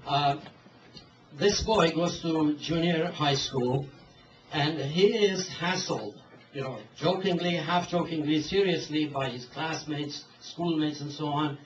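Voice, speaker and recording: A man telling a story and then putting a question to a speaker, halting with many fillers and restarts. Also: a little high. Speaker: interviewer. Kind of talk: hesitant spoken question to a speaker. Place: event hall. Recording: distant mic, dull, roomy hall with hiss.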